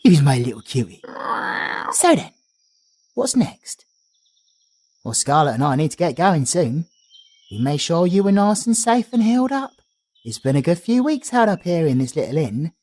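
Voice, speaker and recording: A man's voice: fairly high pitch, sing-song, moderate pace, long pauses, a little mellow. A man playing a chatty British travelling bard. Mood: doting. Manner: chatty, doting, slangy. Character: cheerful chatty male travelling bard, young adult. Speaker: audio roleplay performer. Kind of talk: ASMR audio roleplay.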